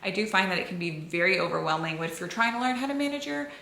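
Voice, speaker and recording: A woman's voice: medium pitch, expressive, fast talker, creaky, pushed and bright. A young American woman chatting casually to camera, answering viewer questions in an open, filler-heavy way. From United States. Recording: echoey room.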